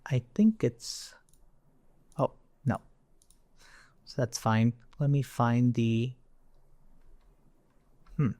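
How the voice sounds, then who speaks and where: A man's voice: mid-range voice, steady tone, extremely slow, long pauses, dark tone. A man giving an informal coding tutorial as an instructor, thinking aloud conversationally and often checking in with the listener. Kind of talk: informal coding tutorial, explaining while demonstrating on screen.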